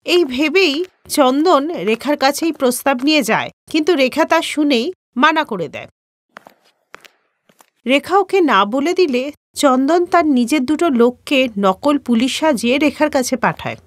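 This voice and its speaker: A woman's voice: sits high, expressive, measured pace, dry recording. A woman narrating a scripted children's story as the storyteller.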